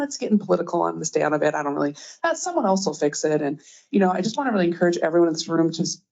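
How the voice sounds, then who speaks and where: A woman's voice: lowish voice, darkish tone, lo-fi sound. A woman advocating for child care on a panel, urging the audience to get involved in an encouraging way. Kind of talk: panel discussion. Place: event room with audience.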